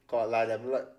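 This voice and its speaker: A man's voice: medium pitch, darkish tone. A young man with a London accent chatting casually to livestream viewers, slangy and often repeating phrases for emphasis.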